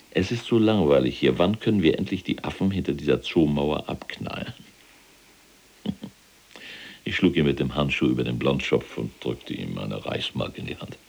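An actor playing a proud, callous, imprisoned SS general recounting the war in a gravelly, husky, self-important military manner in a radio drama. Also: male voice, rumbling low, a little mellow, full character voice. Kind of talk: dramatized first-person war recollection.

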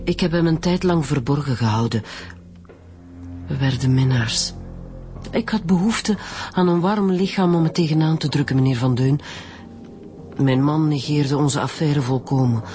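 An actress with a Flemish accent playing a bitter widow making a confession in a radio drama. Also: female voice, sits low, normal speed, pauses often, mellow, soft background music, natural voice. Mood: resigned, confessing. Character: bitter, confessing middle-aged widow of an undertaker.

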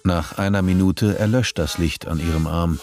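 A man's voice: low voice, normal speed. A man narrating a radio play in a detached, descriptive, scripted manner. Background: music.

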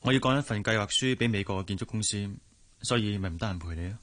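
An actor playing a protective, well-off young employer in a radio drama, earnest and considerate, with a Hong Kong accent. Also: male voice, lowish voice, fast, a bit bright, close to an everyday voice. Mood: matter-of-fact. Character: kindly young wealthy gentleman, protective employer. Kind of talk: Cantonese radio drama acting.

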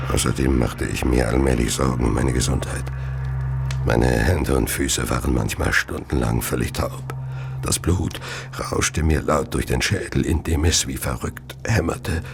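An actor playing a tormented murderer, narrating his deeds in a brooding, measured, gravelly voice for a radio play. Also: noisy background, exaggerated voice. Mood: haunted, brooding. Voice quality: very deep, gravelly. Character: tormented, murderous male serial killer confessing his deeds. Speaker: narrator-character in an audio drama. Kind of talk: dramatic first-person radio play narration.

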